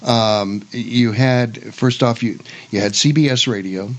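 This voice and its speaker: A man hosting a talk show, mixing casual chat with read notes, halting and repeating words. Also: medium pitch, fairly even intonation. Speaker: radio show host.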